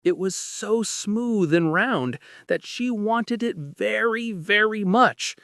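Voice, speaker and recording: A man narrating a bedtime story in a gentle, soft, unhurried way. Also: high voice, dry recording, studio quality.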